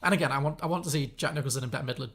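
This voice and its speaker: A man's voice: mid-range voice, rapid-fire, fairly bright tone, slight background noise. A man co-hosting a podcast, chatting in a playful, rambling way and often laughing. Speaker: podcast co-host. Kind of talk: comedic casual chat.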